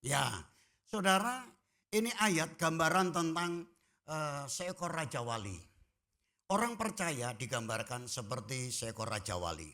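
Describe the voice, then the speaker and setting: A man preaching a sermon as pastor, folksy and joking with the congregation, swinging from quiet asides to loud shouted emphasis. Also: fairly high pitch, animated, a little slow. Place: church.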